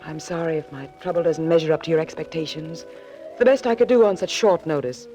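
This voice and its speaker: A woman's voice: deep voice, fairly quick, dark tone, close to an everyday voice. An actress playing a cool, secretive and anxious woman in trouble in a 1940s radio drama. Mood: cutting. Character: anxious, cool, secretive woman being blackmailed, pleading for help. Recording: dull vintage 1940s radio recording, faint music.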